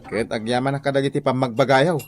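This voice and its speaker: A woman's voice: a bass voice, fairly even intonation, fast talker. A woman acting a cheeky, whiny grown child in a comedy radio drama, exclaiming playfully with a Filipino accent. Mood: teasing. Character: cheeky grown child teasing and giggling at mother.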